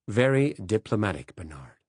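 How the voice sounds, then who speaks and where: A man's voice: lowish voice, sing-song, moderate pace, a little mellow, breathy edge, no room sound, studio quality. A man voicing a blunt, sardonic American senior intelligence boss. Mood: probing. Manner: brusque, sardonic.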